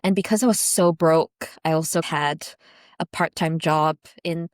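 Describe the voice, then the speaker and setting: A woman's voice: sits low, steady tone, measured pace, no room sound, clean studio sound. A woman from Malaysia, a podcast guest, telling her life story in a chatty, casual way and laughing easily. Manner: chatty, laughs easily, frequent fillers and restarts.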